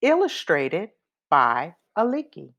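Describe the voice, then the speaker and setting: A woman reading a children's picture book aloud in a deliberate, expressive manner. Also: fairly low pitch. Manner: deliberate, expressive, acts out sound effects. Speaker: read-aloud reader.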